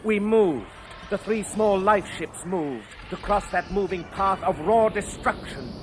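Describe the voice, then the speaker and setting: An actor playing an awed, haunted young spacefarer, narrating poetically and solemnly in a 1960s radio drama. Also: male voice, high voice, unhurried. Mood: urgent awe. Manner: theatrical, solemn, incantatory. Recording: noisy 1960s radio recording.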